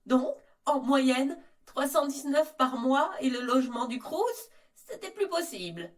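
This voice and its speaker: Woman playing young exploited maid giving testimony, earnest n careful, high voice, bit far from mic. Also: animated, unhurried. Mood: composed, earnest. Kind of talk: scripted testimony in mock interview. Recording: voice distant from microphone.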